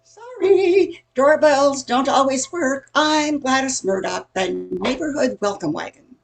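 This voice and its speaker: A woman's voice: high voice, animated, measured pace, vocal fry, soft background music, heavily acted. An older woman playing a nosy middle-aged gossip in an amateur radio play, chatty and insinuating. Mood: bright, pushy.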